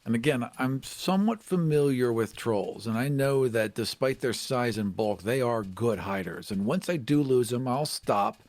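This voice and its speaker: A man's voice: medium pitch, lively intonation, normal speed, hardly any pauses, darkish tone, no echo. A man playing a tabletop game, casually describing what his character does. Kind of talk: casual narration of character actions.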